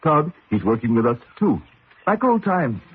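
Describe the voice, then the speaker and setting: An actor playing a steady, mystical racing-team hand in a radio mystery, with terse, deliberate, foreign-flavored phrasing. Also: male voice, on the high side, husky, full character voice. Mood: loyal. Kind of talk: radio mystery drama acting. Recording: muffled narrow-band old radio recording.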